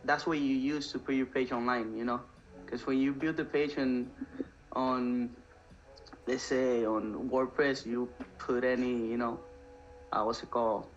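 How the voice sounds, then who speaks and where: A man's voice: mid-range voice, steady tone, unhurried. A man from Cuba casually chatting as a guest on a livestream, explaining things step by step in an easygoing way. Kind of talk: casual chat. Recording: slightly dull sound with faint music.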